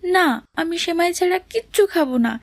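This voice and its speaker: A hungry little boy in a cartoon drama. The voice is high-pitched and childish, insistent and whining, yet tender-hearted. It is recorded very dry. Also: fairly quick, dry recording. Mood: whiny, demanding.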